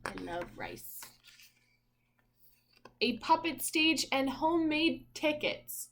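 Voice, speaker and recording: A woman's voice: high-pitched, fairly bright tone, slight background noise. A woman teacher reading a picture book aloud to young children in a sing-song way, prompting listeners with questions.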